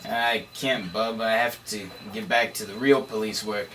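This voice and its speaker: A man's voice: on the low side, fairly even intonation, unhurried, sharp tone. A man playing a slangy, skeptical 1930s police detective, conversational and wisecracking, at a table read. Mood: insistent, dismissive. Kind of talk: noir dialogue at a table read. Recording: distant mic, faint room noise.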